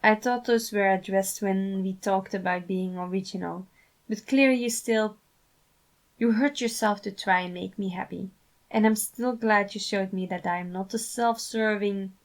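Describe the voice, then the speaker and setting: Woman doing a sincere supportive guy in a story, gentle and earnest, lots of fry. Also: mid-range voice, fairly even intonation, a little slow, natural voice, low-quality recording. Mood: apologetic, earnest. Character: supportive, sincere man reassuring his friends. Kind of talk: fan fiction character reading.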